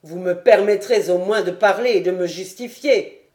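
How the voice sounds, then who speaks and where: A woman's voice: mid-range voice, normal speed, natural voice. A woman reading aloud as a loyal male lieutenant who speaks firmly and with dignity. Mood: composed. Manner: firm, loud, dignified. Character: steadfast male lieutenant, cool then indignant.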